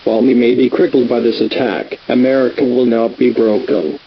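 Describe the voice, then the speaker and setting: A man playing a solemn US president who gives a grave, measured, formal emergency address to the nation. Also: mid-range voice, fairly even intonation, close to an everyday voice. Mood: grave, resolute. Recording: dull lo-fi broadcast with hiss.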